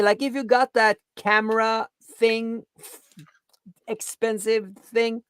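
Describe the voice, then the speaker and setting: A woman with a mid-pitched voice talks in a dry, close recording. Her delivery is informal and blunt, the casual explaining and bantering of a livestream, with words repeated for emphasis. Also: no echo. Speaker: livestream co-host.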